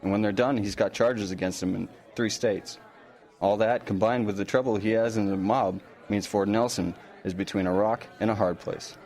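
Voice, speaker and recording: A man's voice: fairly low pitch, fairly even intonation, normal speed, a little mellow, natural voice. An actor playing an earnest, kindly, slightly bashful small-town lawman in an audio drama. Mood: earnest, matter-of-fact.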